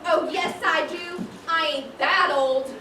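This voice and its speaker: A woman's voice: very high voice, slow pace. A woman playing a brash, suspicious mature customer with blunt, folksy speech in an amateur stage comedy. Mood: scolding. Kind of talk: amateur one-act stage comedy acting. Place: stage in a large echoey room. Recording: echoey stage, noisy, far-off mic.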